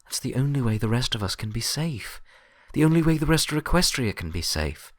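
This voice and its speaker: Man doing a stern, sharp-edged unicorn mare. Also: mid-range voice, sing-song, fairly quick, no echo, clean studio sound, pitched above the performer's own voice, natural voice. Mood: grim, insistent. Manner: sharp-edged, reasoning firmly. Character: stern, pragmatic female unicorn librarian, grimly insistent.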